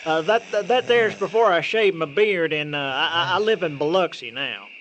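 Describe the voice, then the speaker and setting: A man's voice: a little high, normal speed, fairly bright tone, muffled recording, natural voice. A man playing a weary, flustered country driver, stammering and folksy in a radio drama. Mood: nervous, polite. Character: flustered, weary country trucker, talking to himself. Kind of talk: old-time radio drama acting. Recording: clear vehicle noise behind.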